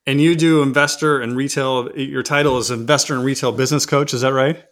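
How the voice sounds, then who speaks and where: A man's voice: mid-range voice, fairly even intonation, brisk, fairly bright tone. Middle-aged male podcast host. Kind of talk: podcast intro and interviewing.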